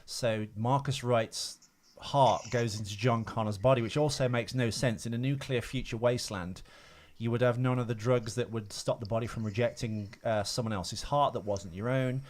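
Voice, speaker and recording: A man's voice: mid-range voice, steady tone, moderate pace. A man co-hosting a podcast, chatting casually about films with sweary, joking banter. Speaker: podcast co-host. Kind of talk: casual film chat, trivia.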